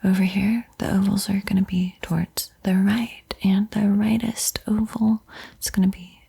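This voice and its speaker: A woman whispers painting instructions in a soothing, encouraging ASMR style, with a breathy, airy voice. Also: mid-range voice, flat delivery, normal speed, mellow. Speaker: art tutorial host.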